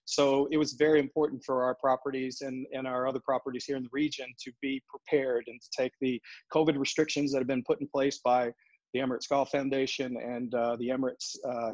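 A man in his forties, a golf operations executive, answering interview questions over a video call in a steady, businesslike way with frequent fillers and restarts. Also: medium pitch, dry recording. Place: home office in front of a brick wall. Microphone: earbuds on a video call. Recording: dull, dry video call audio.

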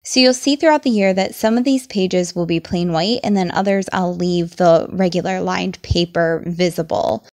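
Slightly raspy young woman narrating crafts. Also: fairly low pitch, normal speed, few pauses, a little mellow. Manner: chatty, enthusiastic, explains each step. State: losing her voice, slightly raspy. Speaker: journaling vlogger. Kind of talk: voice-over craft tutorial.

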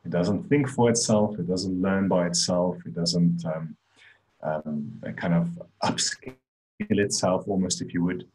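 Deep-voiced South African man presenting. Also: steady tone, measured pace, a little mellow, low-quality recording. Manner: conversational, with frequent restarts and fillers. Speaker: conference speaker on AI. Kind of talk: online presentation talk. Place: indoor room, on a webcam.

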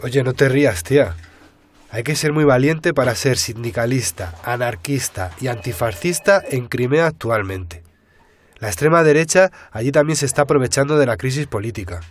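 Radio serial: earnest male investigator voiced by an actor, mid-pitched, colloquial. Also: animated, moderate pace, a little hiss or hum, lightly acted. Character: earnest male investigative journalist, casual and concerned. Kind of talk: naturalistic Spanish radio drama dialogue.

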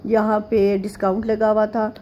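A middle-aged woman from Pakistan narrating a home vlog in a rambling, chatty way, with frequent pauses and fillers. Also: medium pitch, monotone, dark tone, dull audio, a little hiss or hum. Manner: homely, chatty tone. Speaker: vlogger. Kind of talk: casual chatty voice-over narration.

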